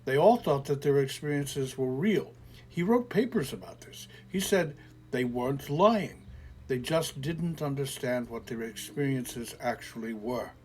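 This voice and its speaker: A man voicing a calm skeptical adult explainer. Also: medium pitch, unhurried, slightly rough. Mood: patiently explaining. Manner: soothing, reasoning, lecturing. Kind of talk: audio short story reading.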